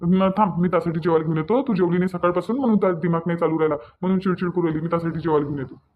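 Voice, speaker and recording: A man's voice: fairly high pitch, rapid-fire, a little hiss or hum, close to an everyday voice. A man voicing a mild, evasive young husband in a Marathi cartoon, soothing and repeating himself in Vidarbha dialect, on narrow muffled audio. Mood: placating, defensive. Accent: Vidarbha (Varhadi) Marathi. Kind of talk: Marathi cartoon story dialogue.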